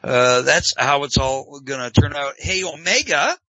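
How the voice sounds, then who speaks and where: A man's voice: a little high, animated, a little slow, bright, forceful tone, no echo. A man from Canada hosting a casual talk show, joking and sarcastic. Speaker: podcast host. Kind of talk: casual chat. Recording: dull lo-fi dry sound.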